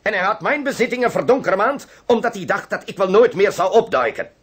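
Radio drama accused man: indignant, rough-edged, high, swinging emphatically in pitch. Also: expressive, a little fast. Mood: indignant, defiant. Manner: emphatic, protesting. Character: indignant, defiant male murder suspect. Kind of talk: radio play acting.

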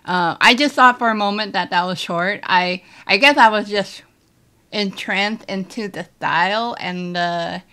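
Slow, relaxed young woman reacting. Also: medium pitch, clean tone. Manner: relaxed, hesitant, many fillers. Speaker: reaction video creator. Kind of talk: casual reaction chat. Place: small bedroom streaming setup.

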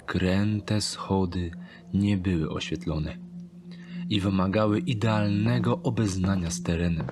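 A man narrating a dark fantasy thriller audiobook in a measured, deliberate storytelling manner. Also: sits low, noisy background. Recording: strong ambient sound behind the voice.

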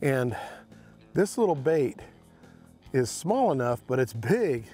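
A middle-aged man hosting a fishing show, explaining tackle in a folksy way from a bass boat on an open lake. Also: takes it slowly, faint music behind. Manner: folksy, excited when landing fish. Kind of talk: outdoor fishing instruction.

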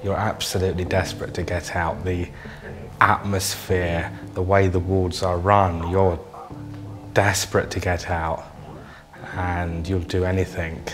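A man's voice: deep voice, little pitch movement, measured pace, music in the background. A man in an unscripted interview, speaking with repetitive, hesitant phrasing. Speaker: interviewee, former patient.

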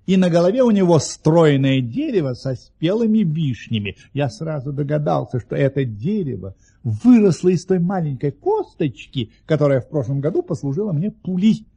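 A genial aristocratic raconteur in a radio play. His voice is mellow and husky, swinging in pitch as he spins tall tales with unflappable, mock-earnest relish. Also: animated, normal speed, hardly any pauses, heavily acted. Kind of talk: Russian audio play acting, storytelling.

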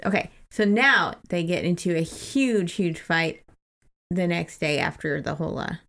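A woman's voice: lowish voice, measured pace, a bit bright. A woman co-hosting a podcast, casually recapping a book in an informal, amused way. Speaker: podcast co-host.

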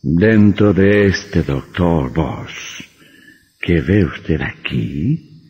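An actor playing a gloating, menacing centuries-old vampire posing as a doctor, in old radio horror style. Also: male voice, deep voice, lively intonation, a little hiss or hum, exaggerated voice. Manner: menacing, gloating, slow and theatrical, with sinister laughter. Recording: dull old radio recording, long reverb.

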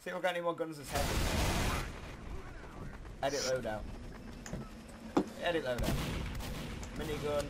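A young man giving casual, excitable, rambling and self-deprecating commentary while playing a video game. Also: on the high side, normal speed, long pauses. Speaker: gaming video host. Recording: noisy game audio behind the voice.

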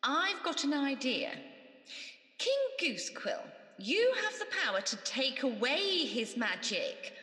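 Woman as bright, high-voiced good fairy. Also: sing-song, slow, heavily acted. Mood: cheery, helpful. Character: kindly, bustling, bright female good fairy. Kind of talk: radio pantomime acting. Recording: echoey reverberant hall.

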